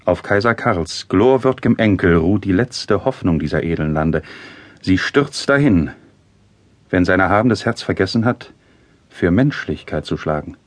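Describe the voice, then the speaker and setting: An actor playing a noble, idealistic young envoy and loyal friend in a classical stage drama, earnest and oratorical. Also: male voice, low voice, lively intonation, a little slow, dry recording. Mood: earnest pleading.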